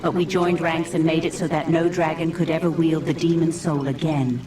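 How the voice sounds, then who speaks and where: Woman as a grave, low-voiced ancient guardian. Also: flat delivery, normal speed, lightly acted. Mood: grave regret. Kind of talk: fantasy game cutscene acting. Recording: music behind voice. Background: music.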